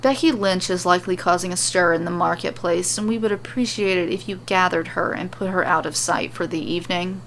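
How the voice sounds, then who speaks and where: Through clear noise comes a solemn male monk read by a woman in a storybook tale. He is stern, measured and formal, with no humor. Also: fairly low pitch, fairly even intonation, fairly bright tone. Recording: clearly noisy recording.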